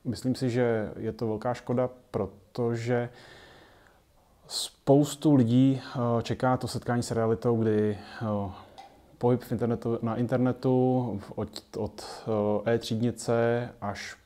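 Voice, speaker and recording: A man in his forties from the Czech Republic, talking unscripted to camera in a halting way with many hesitant pauses. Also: medium pitch, steady tone, warm tone. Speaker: children's group founder and carer. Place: small colourful indoor children's playroom.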